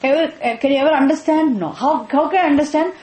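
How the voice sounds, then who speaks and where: A woman's voice: high-pitched, fast talker, a little mellow, reverberant. A middle-aged woman from Tamil Nadu with a Tamil Indian English accent, teaching spiritual philosophy. She checks with her listeners often and repeats key phrases. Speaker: Vedanta teacher. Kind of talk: spiritual class talk.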